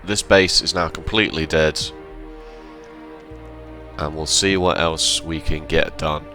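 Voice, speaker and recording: Very deep-voiced man, slightly hoarse. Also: a little slow, music in the background. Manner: relaxed, rambling with self-corrections. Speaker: let's play host. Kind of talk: casual gameplay commentary.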